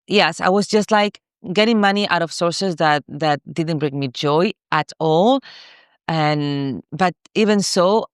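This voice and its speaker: A woman's voice: deep voice, clear, resonant tone, no room sound, clean studio sound. A woman in her thirties from Morocco, a coach candidly telling her own story in a masterclass, repeating herself with many fillers. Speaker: spiritual coach.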